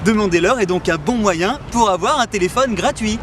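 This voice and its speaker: A man's voice: high voice, brisk, background noise. A man hosting a parody language lesson in a mock-earnest, cheeky manner. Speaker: comedic language teacher host.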